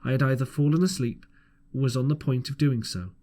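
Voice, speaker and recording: A man's voice: medium pitch, fairly quick, warm tone. A man narrating an audiobook as an amateur reader, earnest, with the odd stumble over the text. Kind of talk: scripted storybook narration.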